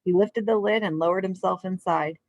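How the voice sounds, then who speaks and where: A woman's voice: fairly low pitch, brisk, dry recording, dull audio. Woman reading to children, dry sound. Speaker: children's teacher. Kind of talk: storybook read-aloud and instruction for children.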